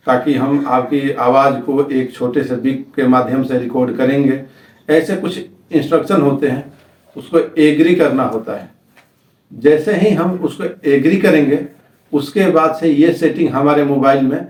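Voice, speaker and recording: Tutorial host, male, Indian accent, halting step-by-step delivery, recorded in a very roomy space at some distance from the mic. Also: medium pitch, darkish tone. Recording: echoey room, distant microphone.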